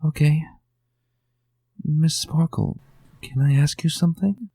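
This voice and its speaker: A man's voice: on the high side, slow, slightly rough, no echo, voice pushed up, exaggerated voice. A man doing a fragile, frightened little pony filly. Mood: timid. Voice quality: soft, fragile, shaky. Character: timid scared young female alicorn filly.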